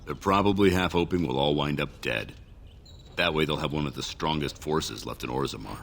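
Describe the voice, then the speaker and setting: An actor playing a gruff, blunt and weary dwarf warrior in a fantasy video game. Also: male voice, a bass voice, normal speed, a bit bright, exaggerated voice. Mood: grave, dry.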